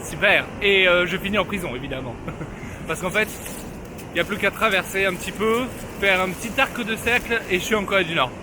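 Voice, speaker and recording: A man's voice: high voice, a little fast, background noise. A young man narrating his own travel vlog casually, joking around with slang and poking fun at himself. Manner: joking, slangy, self-mocking. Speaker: travel vlogger.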